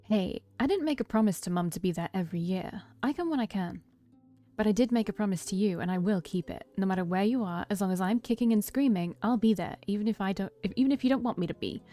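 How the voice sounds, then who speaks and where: Woman as mellow, protective, teasing older sibling. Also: mid-range voice, quick pace, faint music behind, natural voice. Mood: fond, firm.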